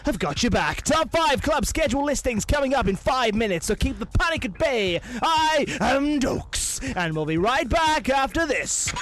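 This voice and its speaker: An actor playing a cheeky, brash radio DJ in an audio drama, rallying listeners with theatrical, mock-bossy party announcements. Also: male voice, extremely high pitch, very animated, moderate pace, hardly any pauses, music in the background. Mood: brash, upbeat. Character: brash, teasing club-scene radio DJ rallying student nerds.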